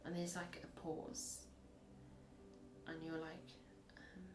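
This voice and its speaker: A woman with a husky voice, reading tarot in a chatty, rambling way and acting out little scenes as she goes. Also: sits low, monotone, slow pace. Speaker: tarot reader. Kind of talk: casual tarot reading to camera. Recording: echoey room.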